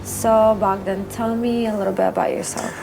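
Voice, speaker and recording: Woman interviewer, gravelly voice, short prompts, music behind. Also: mid-range voice, steady tone, normal speed, mellow, low-quality recording. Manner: brief prompts and frequent "wow" reactions. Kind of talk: interview questions.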